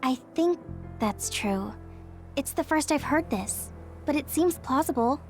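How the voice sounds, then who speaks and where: A woman's voice: sits high, lively intonation, unhurried, a little mellow, faint music behind. A woman voicing an earnest, polite young female recruit in a video game, with a hesitant way of talking. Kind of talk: English video game voice acting.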